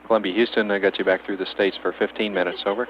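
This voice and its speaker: A man's voice: fairly low pitch, fairly even intonation, fast. A man working as a spacecraft communicator, speaking in matter-of-fact procedural radio calls over a muffled, hissy archival link. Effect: two-way space radio. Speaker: capsule communicator at mission control.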